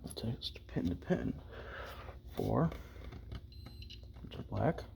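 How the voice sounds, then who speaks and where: A man's voice: on the low side, sing-song, takes it slowly, gravelly. A man giving a casual hands-on DIY tutorial, muttering short, offhand phrases to himself as he works. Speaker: DIY hobbyist demonstrating a repair. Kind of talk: casual hands-on tutorial, talking while working. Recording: very noisy room, loud background noise.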